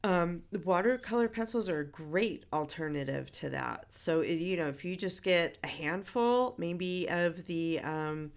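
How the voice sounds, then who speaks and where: A middle-aged woman who is a bit stuffy from a head cold, talking through a relaxed drawing tutorial in a chatty way, with many fillers and self-corrections. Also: lowish voice, measured pace, hardly any pauses. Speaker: art instructor.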